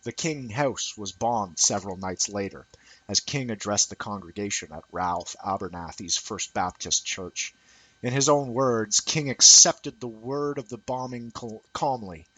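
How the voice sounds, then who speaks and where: A man's voice: lowish voice, unhurried, sharp tone, slightly rough, low-quality recording. A man reading a published essay aloud in a scripted audiobook style. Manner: dramatizes quoted speech.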